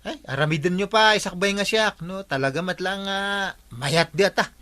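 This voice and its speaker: An actor with an Ilocano accent playing an exasperated, scolding village captain in a radio comedy drama, excitable and full of exclamations. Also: male voice, sits high, moderate pace.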